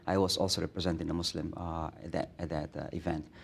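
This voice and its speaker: A man's voice: deep voice, flat delivery, fast talker, vocal fry, husky. A middle-aged man with an Indonesian accent, a guest imam telling stories in a studio interview, earnest, with many restarts and fillers.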